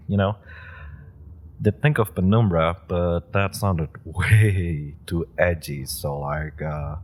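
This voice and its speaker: A man's voice: deep voice, husky, heavily acted. A man playing a flustered young superhero rookie. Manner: rambling and flustered, stammers and laughs nervously. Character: nervous, eager young male superhero rookie. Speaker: audio roleplay performer. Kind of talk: audio roleplay drama.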